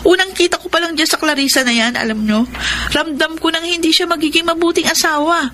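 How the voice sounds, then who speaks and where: A woman's voice: extremely high pitch, a little fast, sharp tone, a little hiss or hum. A woman playing a grown daughter in a radio drama, emotional and insistent as she pleads with her heartbroken father. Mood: scolding, pleading. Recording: music bed under dialogue.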